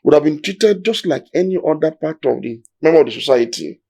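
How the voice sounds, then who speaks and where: A man's voice: a little high, expressive, brisk, darkish tone. A Nigerian man with a Nigerian accent, explaining history in a discussion in an emphatic, lecturing way, building his points step by step.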